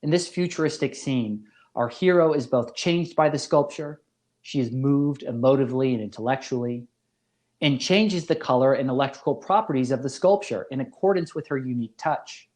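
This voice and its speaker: A man giving a scripted academic lecture as a philosophy professor, in a measured, formal manner. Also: medium pitch, a little mellow.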